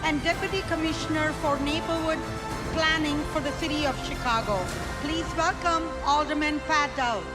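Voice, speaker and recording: A woman's voice: sits high, steady tone, normal speed, loud music behind the voice. A woman emcee reading a formal introduction of the next presenter. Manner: formal, announcing.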